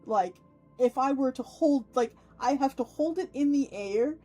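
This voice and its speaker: A woman's voice: sits high, a little slow, warm tone, faint music behind. A woman hosting a casual livestream, chatting with viewers in an effusive, playful way with theatrical turns of phrase. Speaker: VTuber livestream host.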